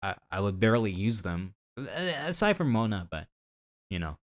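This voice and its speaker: A man's voice: fairly low pitch, very animated, normal speed. A man doing casual, rambling live commentary on a video game, playfully chatting to his viewers, with game music behind him. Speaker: game streamer.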